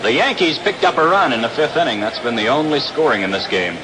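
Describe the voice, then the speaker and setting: A man's voice: mid-range voice, lively intonation, fast, background noise. An American man calling baseball play-by-play in the style of a 1960s radio broadcast, recorded outdoors at a ballpark. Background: loud stadium ambience.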